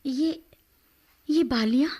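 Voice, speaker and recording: A woman's voice: sits high, takes it slowly, mellow. An actress playing a respectful young village mother in a Hindi radio drama, speaking deferentially.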